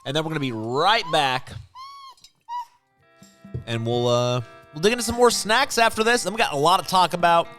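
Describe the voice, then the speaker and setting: A man's voice: fairly high pitch, normal speed, long pauses, bright, forceful tone, soft background music. A man co-hosting a podcast in casual snack-tasting chat, informal and given to repeating short phrases. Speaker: podcast co-host.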